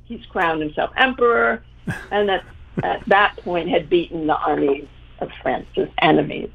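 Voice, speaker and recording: A woman history professor explaining as a panel guest in a measured, lecturing way. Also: on the low side, gravelly, slightly rough. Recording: tinny phone-like line.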